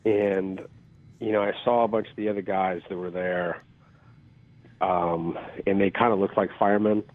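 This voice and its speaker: Raspy low-voiced man on a phone line. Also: fairly even intonation, moderate pace. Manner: rambling, self-deprecating, with filler ums. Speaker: actor guest. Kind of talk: casual interview storytelling. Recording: phone-like call audio.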